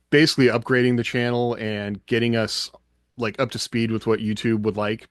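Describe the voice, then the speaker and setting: A man hosting a casual podcast interview, speaking haltingly as he searches for his words. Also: on the low side, dry recording, studio quality. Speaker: podcast host.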